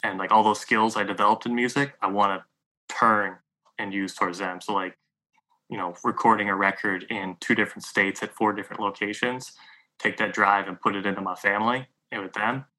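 A man's voice: lowish voice, steady tone, fairly quick, bright, forceful tone, poor audio. A man in his late thirties, a musician guest on a podcast, talking reflectively in a rambling way with lots of fillers. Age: 30s. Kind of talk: casual conversation.

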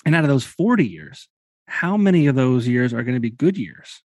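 A man's voice: medium pitch, big pitch swings, moderate pace, a little mellow, no echo, studio quality. Casual man in his late thirties. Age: 30s. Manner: musing, casual, loose with fillers. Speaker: podcast host. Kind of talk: casual conversation.

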